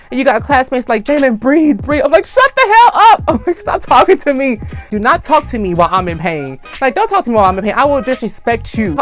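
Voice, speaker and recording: Young male vlogger, high voice, energetic storyteller who acts out every quote; light music bed. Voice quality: high-pitched voice. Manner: animated, acts out screams and quotes. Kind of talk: casual storytime.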